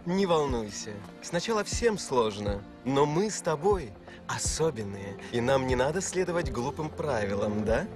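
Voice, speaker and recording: A man voicing a vain, flattering young prince in an animated film, with a pompous, theatrical manner. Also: mid-range voice, moderate pace, over music, close to an everyday voice. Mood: smooth, flattering.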